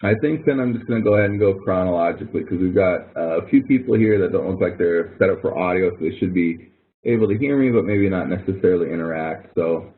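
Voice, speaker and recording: A man instructing students in an informal online webinar, explaining in a rambling, hesitant way with repeated words. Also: low voice. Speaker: instructor running a help webinar. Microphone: online webinar audio connection. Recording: narrow, tinny online-call audio.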